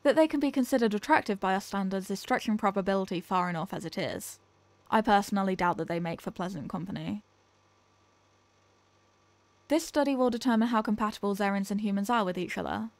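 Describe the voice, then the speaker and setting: A woman's voice: mid-range voice, creaky, dry recording, close to an everyday voice. Woman acting as an aloof alien scientist, close and dry. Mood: skeptical, clinical. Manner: clinical, formal, dismissive. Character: aloof, condescending female alien scientist examining a human. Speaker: ASMR audio roleplay performer. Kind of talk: scripted ASMR sci-fi roleplay.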